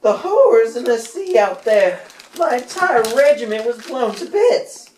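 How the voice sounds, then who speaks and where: A man's voice: extremely high pitch, very animated, measured pace, a little mellow, a little hiss or hum, full character voice. A man voicing a weary, whining, slangy French soldier griping to his sweetheart in an amateur puppet show, recorded distantly in a room with music. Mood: fed up. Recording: distant roomy mic, music.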